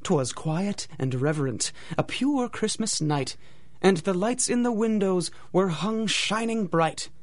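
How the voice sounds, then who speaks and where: An actor playing a dramatic radio announcer, telling a pulp tale in rhyme with theatrical, measured storybook delivery. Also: male voice, high voice, expressive. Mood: grave, dramatic. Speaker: announcer and narrator. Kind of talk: rhymed storybook narration in old-time radio drama style.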